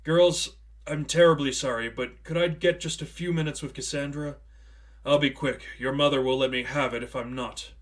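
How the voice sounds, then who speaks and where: A man's voice: medium pitch, steady tone, normal speed, lightly acted. A man playing a kindly, anguished priest and uncle in a story reading, earnest and emphatic, protective and apologetic.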